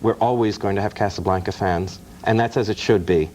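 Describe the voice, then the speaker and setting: Male author guest, lowish voice, explains at length, faint hiss. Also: fairly even intonation, fairly quick, a little mellow, rough recording. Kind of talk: interview answers.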